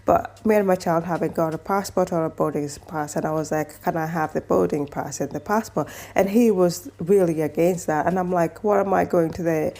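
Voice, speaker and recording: A woman's voice: on the low side, a little fast, hardly any pauses, mellow. A woman from Kenya chatting casually to camera, telling a long story with restarts and asides. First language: mother tongue other than English, also Swahili. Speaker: vlogger.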